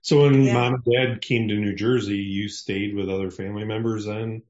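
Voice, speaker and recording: Host read: man with a West Michigan accent, lowish voice, encouraging, easy chat, slightly dull audio. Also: fairly even intonation, normal speed, a little mellow. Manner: encouraging, uses "right?" and "you know". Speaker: podcast host. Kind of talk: casual interview chat.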